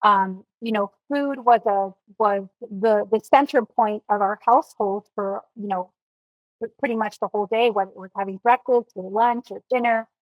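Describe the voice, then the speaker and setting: A woman's voice: medium pitch, moderate pace, no room sound, highs cut off. A woman from Miami, a nutrition coach guesting on a podcast, speaking earnestly and reflectively with many you-knows and ums. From Miami, United States. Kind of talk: podcast interview.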